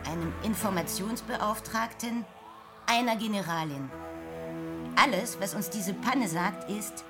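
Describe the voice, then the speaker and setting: A woman's voice: mid-range voice, unhurried, close to an everyday voice. Woman acting a preachy female guerrilla revolutionary over music. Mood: commanding, reassuring. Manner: lecturing, slogan-laden. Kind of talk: German radio play acting. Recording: music behind.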